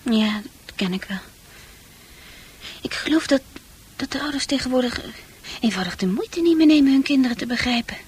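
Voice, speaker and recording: A very husky, breathy teenage girl's voice, pitched a little high. She is troubled and tender, confiding in halting, repeated phrases that often fall almost to a whisper, in an intimate radio play style. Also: lively intonation, darkish tone, heavily acted. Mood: frustrated. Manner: hesitant, repeating words, often dropping to near-whisper.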